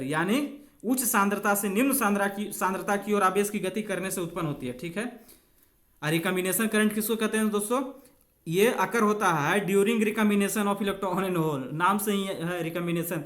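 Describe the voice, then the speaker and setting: A man's voice: high-pitched, a bit bright. A man giving an informal bilingual exam lesson, talking to students as friends while explaining questions step by step. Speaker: exam coaching teacher.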